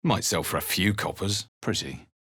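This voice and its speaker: A man playing a wry, haunted adventurer hero in a video game, narrating his journey in measured, theatrical lines. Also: sits low, animated, sharp tone, husky, no echo, studio quality, heavily acted. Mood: wry, observant. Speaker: video game player character voice.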